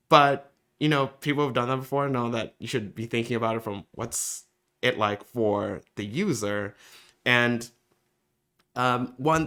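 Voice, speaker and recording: A man, a community organizer, answering interview questions in a thoughtful, halting way with frequent pauses. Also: fairly low pitch, pushed and bright. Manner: thoughtful, many fillers and restarts.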